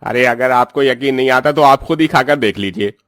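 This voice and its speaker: A man's voice: medium pitch, brisk, fairly bright tone, no echo, clean studio sound, faint music behind, lightly acted. A man voicing a fussy, complaining diner customer in a cartoon, with a grumbling manner. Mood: displeased. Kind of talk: scripted cartoon dialogue.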